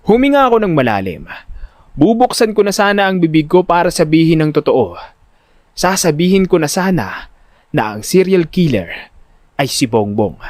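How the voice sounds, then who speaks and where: A man narrating a first-person audio drama in an expressive, suspenseful, scripted storytelling style. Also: fairly high pitch, normal speed, pauses often, dry recording, clean studio sound.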